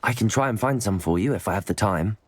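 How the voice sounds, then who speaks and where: An earnest young wizard. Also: male voice, on the low side, lively intonation, fast talker. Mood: resolute. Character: young student wizard hero, earnest and composed. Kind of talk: video game character dialogue.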